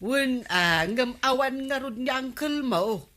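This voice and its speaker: A woman's voice: high-pitched, animated, slow, sharp tone, exaggerated voice. A woman playing a village captain's exasperated, nagging wife in a comedy radio drama, scolding with an Ilocano accent. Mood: grumbling. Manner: scolding, questioning.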